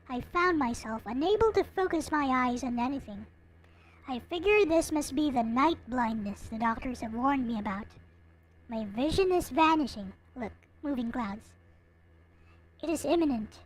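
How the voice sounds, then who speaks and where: Woman, high voice, very lively intonation, theatrical story reading, casual asides. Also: normal speed, slight background noise, rough recording. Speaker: video host reading a visual novel aloud. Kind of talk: expressive dramatic read-aloud with casual commentary. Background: background music.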